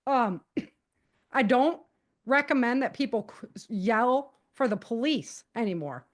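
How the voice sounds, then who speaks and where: Female interview guest, slightly high voice, chatty and animated explainer. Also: takes it slowly. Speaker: guest author giving safety advice. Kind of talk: casual, conversational podcast interview.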